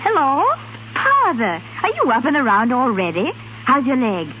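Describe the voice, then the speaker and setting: An actress playing an earnest, compassionate young gentlewoman in 1930s radio drama style. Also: high voice, huge pitch swings, normal speed. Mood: kindly. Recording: hissy, narrow-band 1930s radio recording.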